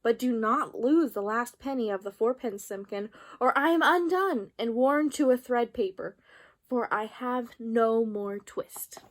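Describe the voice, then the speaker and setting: A woman's voice: a little high, measured pace, lightly acted. A woman voicing a poor, weary old male tailor who mutters fretfully in old-fashioned words, in a storybook reading. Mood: weary, pleading.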